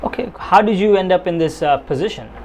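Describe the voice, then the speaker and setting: A man's voice: high voice, fast talker, a little hiss or hum. A young man hosting an interview, asking questions in a friendly, loose way with fillers like "kinda" and "you know". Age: young adult. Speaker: interview host. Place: small studio room with plain wall.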